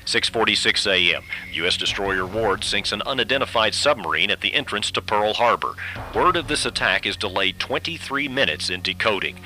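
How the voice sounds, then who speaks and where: A man giving scripted documentary radio narration in a measured, solemn delivery. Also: on the low side, animated, bright, forceful tone, slight background noise, low-quality recording. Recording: radio broadcast with music bed. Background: background music.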